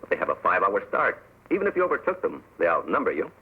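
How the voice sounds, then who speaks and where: An actor playing a gruff, grim wounded frontier scout with a husky, terse delivery in an old-time western radio drama. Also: male voice, low voice, huge pitch swings, fast. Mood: determined. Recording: tinny, muffled old radio recording.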